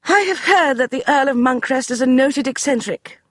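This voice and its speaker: A man doing a crisp, commanding Regency lady. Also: very high voice, lively intonation, moderate pace, a bit bright, dry recording, clean studio sound, pitched above the performer's own voice. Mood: imperious. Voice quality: cool, crisp voice. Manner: commanding, brooks no argument. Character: commanding upper-class Regency lady, short, imperious.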